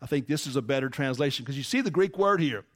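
A man's voice: mid-range voice, lively intonation, fast talker, no room sound, clean studio sound. A man preaching a sermon, teaching emphatically and asking the congregation rhetorical questions. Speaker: pastor.

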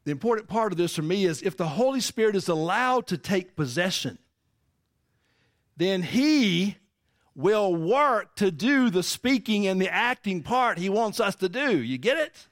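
A man's voice: high voice, sing-song, a little slow, no room sound, studio quality. A man preaching a sermon, teaching emphatically and asking the congregation rhetorical questions. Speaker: pastor.

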